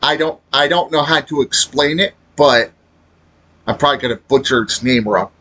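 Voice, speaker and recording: A man giving unscripted, casual gaming commentary in a rambling, halting way, often trailing off mid-thought. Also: medium pitch, sharp tone. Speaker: video creator commenting on a game.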